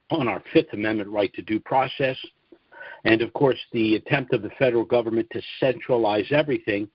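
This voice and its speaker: An American man speaking deliberately and haltingly in an informal monologue as host of a conference call. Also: mid-range voice, no room sound. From United States. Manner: deliberate, halting, frequent throat-clearing. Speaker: conference call host promoting trust services. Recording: dull, dry conference call audio.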